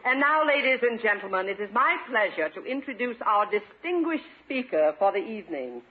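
A woman's voice: a little high, very animated, fairly quick, husky. An actress playing a gracious, gushing club chairwoman making formal introductions in a 1950s radio comedy. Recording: thin, narrow-band old radio recording.

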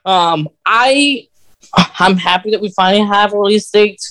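High-pitched man chatting on a podcast. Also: normal speed, fairly bright tone. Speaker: podcast host. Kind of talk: casual fan podcast chat.

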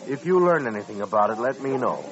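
An actor playing a proud, blunt, hot-headed American newlywed rancher in a 1950s radio western. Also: male voice, medium pitch, fast talker, lightly acted. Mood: stubborn. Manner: blunt, animated. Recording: dull old radio recording, crowd behind.

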